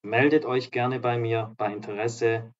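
A slightly hoarse man giving an upbeat, promotional walkthrough of apartments as a real estate agent and vlogger. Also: medium pitch, fairly even intonation, measured pace, muffled recording. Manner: upbeat, promotional, walks through rooms while talking. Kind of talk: property tour narration. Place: apartment complex in a seaside town, indoors and outdoors.

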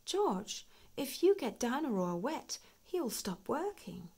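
A reassuring mummy pig from a picture book, read by a woman. She speaks in a bright, soothing manner suited to small children. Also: fairly high pitch, sing-song, slow, husky, pitched above the performer's own voice, full character voice.

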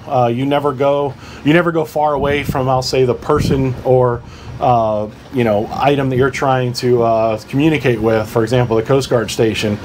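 A man presents an informal how-to guide in a mid-range voice. He is plain-spoken and instructive, taking things one step at a time. Clear ambient noise runs behind his speech. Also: medium pitch, a little mellow. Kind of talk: informal tutorial explanation.

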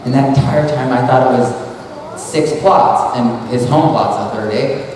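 A man's voice: medium pitch, measured pace, a little mellow, slight background noise. A man in his thirties giving a casual, rambling conference talk on a handheld mic, full of "like" and restarts. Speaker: speaker. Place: large hall at an expo. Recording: echoey hall recorded from far off.

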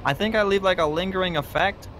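A young man playing a doubtful apprentice dragon fighter in a Minecraft roleplay, casual, hesitant and quick to question. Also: sits high, a bit bright, slight background noise. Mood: unsure. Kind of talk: improvised Minecraft fantasy roleplay. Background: music.

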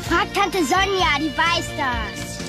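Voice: very high voice, animated, slow pace, over music, exaggerated voice. A child-voiced performer playing a stubborn, cheeky young boy in a German children's drama. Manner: cheeky, contradicting.

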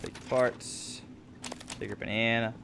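A man's voice: mid-range voice, little pitch movement, a little slow, creaky, noisy background. A man giving casual, jokey and sometimes sweary commentary while playing a video game with a friend.

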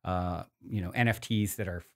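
A male podcast host with a fairly low voice. He talks informally and at length, rambling along with enthusiasm, as if chatting to regular listeners. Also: steady tone, normal speed, fairly bright tone. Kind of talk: casual podcast talk.

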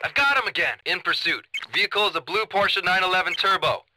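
Pursuit officer, game chatter, tense and very sharp, noisy radio. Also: male voice, sits high, expressive, fairly quick, heavily acted. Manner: clipped, tense. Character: pressed, excitable male pursuit officer. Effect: police radio. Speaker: police officer. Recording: noisy police radio, clicks.

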